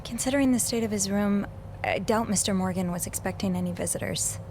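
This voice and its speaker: A woman's voice: mid-range voice, fairly quick, a little mellow, slight background noise, natural voice. A woman playing an anxious young hotel maid in a video game, with a hesitant, earnest way of talking. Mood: earnest, hushed.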